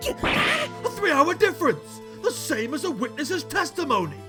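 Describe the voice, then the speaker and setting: A man acts as a pompous cartoon judge making grand, formal pronouncements. The voice is fairly high and swings widely in pitch, with loud music underneath. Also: wildly swooping pitch, unhurried, full character voice. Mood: amazed. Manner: formal, declamatory. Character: pompous, easily amazed male courtroom judge. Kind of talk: comedic parody voice acting.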